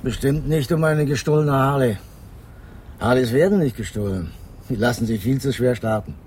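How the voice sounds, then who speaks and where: An actor playing a gruff, proud motorcycle mechanic in a German radio crime drama, giving curt, clipped answers. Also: male voice, medium pitch, moderate pace, a little mellow, slight background noise, lightly acted. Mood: curt, dismissive.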